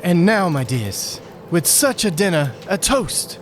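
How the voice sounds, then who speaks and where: A man playing a gentle, kindly, earnest father in a radio play. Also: sits high, big pitch swings, unhurried, slight background noise. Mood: cheerful, earnest.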